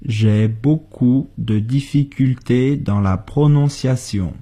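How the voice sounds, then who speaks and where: A husky male voice, speaking very slowly and deliberately. He says each practice sentence for learners, then repeats it word for word. The pace is unhurried, like a drill on a radio lesson. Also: medium pitch, warm tone. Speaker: co-host of a language lesson. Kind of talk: slow model sentences for learners.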